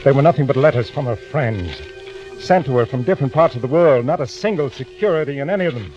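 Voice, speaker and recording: An American actor playing a desperate, nervy swindler turned killer, confessing in a tense, dramatic 1940s radio thriller style. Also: male voice, medium pitch, lively intonation, quick pace, darkish tone, dull audio, natural voice. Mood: nervous, furtive. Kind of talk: 1940s radio thriller acting, first-person narration. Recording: old band-limited radio recording with music.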